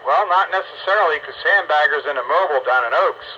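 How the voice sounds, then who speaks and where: A man's voice: on the high side, quick pace, pushed and bright. A plainspoken, folksy man chatting casually over hobby radio. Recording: dull radio transmission with some noise.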